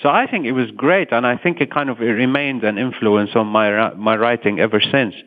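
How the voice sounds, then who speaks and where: Lebanese man guest, thoughtful, halting; phone-line audio. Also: medium pitch. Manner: thoughtful, hesitant with fillers and restarts. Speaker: guest, satirist. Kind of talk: casual interview talk. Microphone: remote phone or call line.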